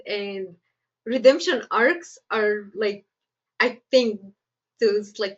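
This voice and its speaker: A woman's voice: mid-range voice, dull audio. Chatty Egyptian-accented woman screenwriter. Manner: chatty, laughs often, lots of "like" fillers. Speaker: screenwriter guest. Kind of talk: casual interview answers.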